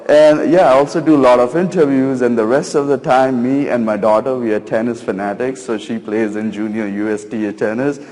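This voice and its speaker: A man's voice: lowish voice, a little mellow. A middle-aged man giving a casual, self-deprecating talk as a conference speaker, with many fillers. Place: conference stage in a large hall. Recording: long hall reverb.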